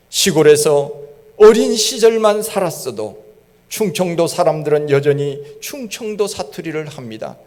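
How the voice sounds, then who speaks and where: A man's voice: a little high, darkish tone. A middle-aged male pastor from Gangwon Province preaching a sermon in a folksy storytelling way, imitating regional dialect phrases. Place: church sanctuary. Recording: long church hall reverb.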